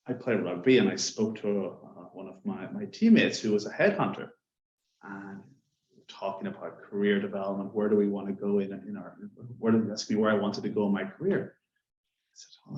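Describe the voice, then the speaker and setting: A man with an Irish accent, a webinar panelist telling his career story in a relaxed way, with many fillers. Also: fairly low pitch, moderate pace. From Ireland. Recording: echoey dull lo-fi webinar audio.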